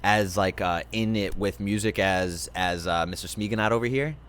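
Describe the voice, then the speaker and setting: A man's voice: fairly low pitch, normal speed, background noise. A man hosting a casual interview, laid-back and joking with his guests. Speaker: host. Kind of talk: casual chat. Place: home, on a couch.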